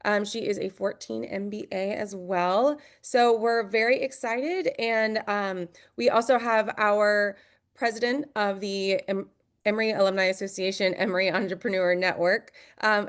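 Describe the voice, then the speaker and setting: A woman introducing a virtual alumni webinar as moderator, with frequent ums and restarts. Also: mid-range voice. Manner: hesitant, many ums and restarts. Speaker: event moderator. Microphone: video call.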